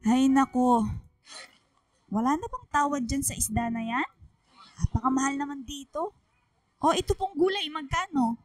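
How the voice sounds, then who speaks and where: A woman's voice: high voice, moderate pace, long pauses, no echo, faint music behind, close to an everyday voice. A woman playing an anxious, fussing middle-aged Filipino mother and wife in a radio drama, fretful and insistent. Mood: brisk, nagging.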